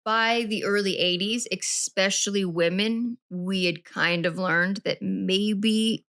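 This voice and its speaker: A woman's voice: medium pitch, fairly even intonation, takes it slowly, fairly bright tone, no room sound, clean studio sound. A woman co-hosting a true-crime podcast, recapping a case in a chatty, rambling way and often correcting herself. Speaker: podcast co-host.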